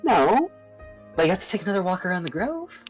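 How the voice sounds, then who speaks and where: A woman's voice: on the low side, very animated, brisk, a little mellow, faint music behind, voice pushed up. A woman voicing a cheerful but bored elf in a visual novel, chirpy and theatrical with a self-mocking streak. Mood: chirpy, eager. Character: cheerful, bored elf woman living a quiet grove life.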